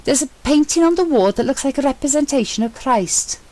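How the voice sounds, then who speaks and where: A woman putting on a high, excitable young man. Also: a little fast. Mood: excited chatter. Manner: high-pitched, chattering commentary.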